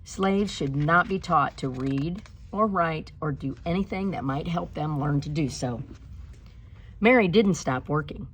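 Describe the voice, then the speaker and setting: A woman reading a storybook aloud like a teacher, with deliberate, expressive phrasing and instructive delivery. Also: sits low, a little hiss or hum. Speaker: teacher reading a picture book aloud to pupils.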